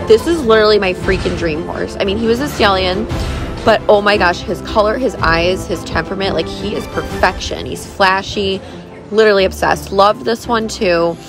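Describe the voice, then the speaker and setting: A woman's voice: mid-range voice, normal speed, music in the background. A woman in her thirties narrating a casual vlog in a gushing, enthusiastic manner. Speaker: vlogger and horse owner.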